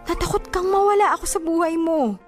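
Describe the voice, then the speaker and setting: High-voiced jealous young girlfriend. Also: a little fast, faint music behind. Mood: hurt, pleading. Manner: exasperated, reproachful, insistent. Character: hurt, jealous young girlfriend, sulky and reproachful. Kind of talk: radio drama acting.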